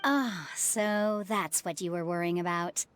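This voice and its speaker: A woman voicing a kind middle-aged former adventurer and widowed mother, speaking gently and reassuringly over soft music. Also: on the low side, measured pace, clean tone, natural voice. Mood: calm. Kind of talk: game voice acting.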